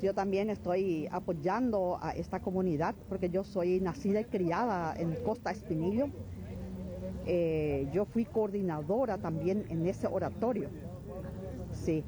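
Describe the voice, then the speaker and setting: A woman, a former chapel coordinator, explaining in a measured way during a street interview. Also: fairly low pitch, a crowd in the background, low-quality recording.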